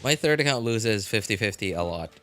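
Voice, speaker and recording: A man live-streaming a game, chatting casually with viewers and answering chat in a relaxed, chatty way. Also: lowish voice, brisk. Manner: frequent fillers and restarts, laughs easily.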